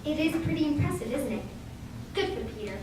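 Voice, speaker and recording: A woman's voice: high-pitched, expressive, fairly quick. A woman playing a prim, restrained young woman in a radio play, in an echoey, noisy recording. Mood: prim, scolding. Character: prim, restrained young woman, grieving her close friend. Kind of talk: amateur radio play acting. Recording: very echoey room, distant mic, clear noise.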